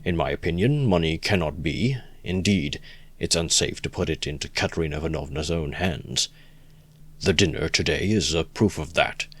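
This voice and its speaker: A man voicing a pompous, calculating middle-aged gentleman who speaks in a measured, condescending way during an audiobook reading. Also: very deep voice.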